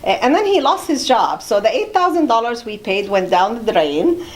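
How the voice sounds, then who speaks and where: A woman's voice: fairly high pitch, expressive, normal speed. A middle-aged woman from Jordan, talking conversationally as an interview guest about her own life. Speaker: interview guest, immigration attorney.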